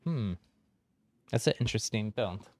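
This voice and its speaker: A man's voice: lowish voice, lively intonation, normal speed, darkish tone. A man streaming a game, chatting casually with viewers, friendly and chatty with frequent ums and laughs. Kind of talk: casual chat while gaming on stream.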